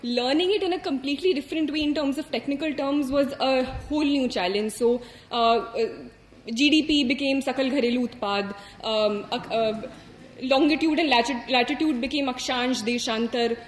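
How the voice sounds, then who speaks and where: Young Indian woman, native Hindi speaker, high voice, interview answer with pauses and fillers. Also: echoey room, slight background noise. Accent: Indian English. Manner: thoughtful, with frequent hesitations and fillers. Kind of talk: candid personal story.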